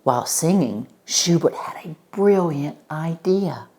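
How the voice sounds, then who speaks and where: Low, slow older woman reading stories. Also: animated. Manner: dramatic, with frequent hushed words and questions to children. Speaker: storybook reader for children. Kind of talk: interactive children's read-aloud, expressive narration with asides.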